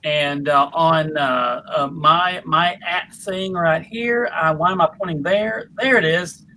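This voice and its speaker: High, bright-voiced man hosting a panel. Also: takes it slowly. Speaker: panel host and moderator. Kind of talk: casual panel chat. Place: remote video call.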